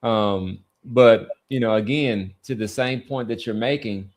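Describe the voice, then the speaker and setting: A man's voice: on the low side, unhurried. A man hosting a sports podcast, debating casually and repeating phrases for emphasis.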